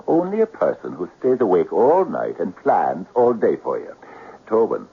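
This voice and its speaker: An actor playing a theatrical, insistent schemer of a friend, coaxing and portentous, in an old-time radio drama. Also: male voice, on the low side, huge pitch swings, moderate pace, creaky, breathy edge, exaggerated voice. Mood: portentous pleading. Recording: tinny narrow-band radio recording, faint noise.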